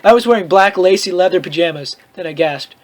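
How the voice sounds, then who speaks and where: A man's voice: high-pitched, fairly quick. A man hosting a comedic read-aloud of fan fiction, with theatrical, mocking asides and casual commentary.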